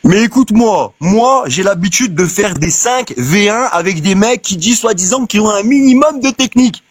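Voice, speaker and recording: A man's voice: sits high, lively intonation, moderate pace, breathy edge, dry recording. A man trash-talking aggressively on a live video call, challenging others to a fight. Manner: aggressive, provoking, insulting. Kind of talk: heated trash talk on a live stream.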